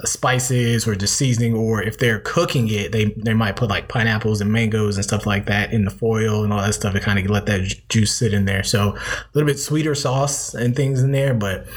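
A man's voice: fairly low pitch, fairly even intonation, normal speed, breathy edge. A man from South Carolina in a casual chat, telling stories with easy enthusiasm.

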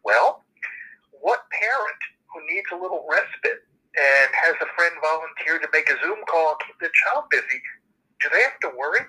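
A man hosting a conversation, making an emphatic, lively argument. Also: on the high side, normal speed, breathy edge, rough recording. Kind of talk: conversational advocacy.

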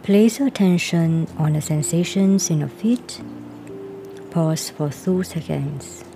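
A woman with a deep, low voice talks very slowly through a guided body-scan relaxation. Her instructions are calm and even, and she reads each pause cue aloud. Clear background music plays under her voice. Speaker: reader of a self-help workbook. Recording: voice laid over music.

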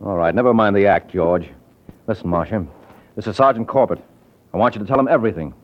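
Man playing a wisecracking low-voiced radio detective. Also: fast talker, lightly acted. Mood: exasperated. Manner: sardonic, slangy. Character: wisecracking hard-boiled male private detective, cocky and flirtatious. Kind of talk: old-time radio detective acting. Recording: dull vintage radio recording with music.

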